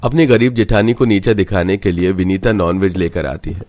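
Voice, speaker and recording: Guy with a low voice narrating a scripted story. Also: fairly even intonation, brisk, a little mellow, no room sound.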